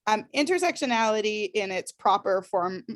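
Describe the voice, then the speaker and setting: A woman's voice: mid-range voice, lively intonation, moderate pace, dry recording, studio quality. A woman facilitating a discussion workshop, explaining ideas thoughtfully with frequent ums and restarts.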